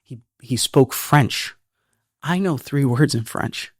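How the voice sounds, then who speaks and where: This is a man of ordinary pitch who speaks earnestly in a relaxed, conversational way. He often stumbles and repeats words as he works out his thoughts. His voice sits close and dry, with no room sound around it. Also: slow pace, no room sound. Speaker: filmmaker guest. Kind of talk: casual podcast conversation.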